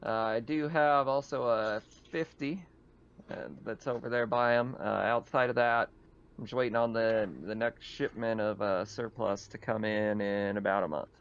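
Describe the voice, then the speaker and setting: A man's voice: fairly low pitch, steady tone, normal speed, smooth. A man acting as game master, narrating and explaining rules in a tabletop roleplaying session. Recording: dull, lo-fi voice audio.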